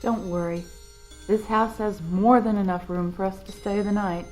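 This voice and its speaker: A woman playing a dignified, grieving middle-aged mother and widow in an audio drama, earnest and quick to reproach. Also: medium pitch, fairly even intonation, a little mellow, natural voice. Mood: welcoming. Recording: music behind the voice.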